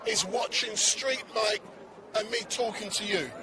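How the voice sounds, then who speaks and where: Barbados-born male street reporter, very high voice, chatty and teasing, loud crowd behind. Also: measured pace. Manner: chatty, teasing, interrupts to keep the floor. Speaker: street interviewer. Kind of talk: street interview livestream. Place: outdoors at a city street protest. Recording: outdoor recording with crowd around. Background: crowd noise.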